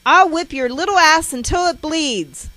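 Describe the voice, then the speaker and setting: A woman storyteller voicing an enraged, abusive mother, harsh and shouting, who scolds and threatens. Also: high voice, unhurried, dry recording, voice pushed up. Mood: furious, threatening.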